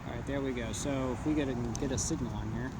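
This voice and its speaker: A man giving a casual, off-the-cuff product demonstration, speaking haltingly and thinking aloud as he goes. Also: medium pitch. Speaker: amateur radio hobbyist reviewing handheld radios. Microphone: handheld phone camera. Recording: noisy phone video with clicks.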